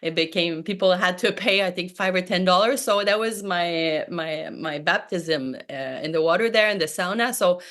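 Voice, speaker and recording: Woman panelist, 40s, lowish voice, halting and thoughtful. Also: a bit bright. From Quebec, Canada. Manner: hesitant, many fillers and restarts, light laughs. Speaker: panelist, sauna operator. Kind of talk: panel discussion answer.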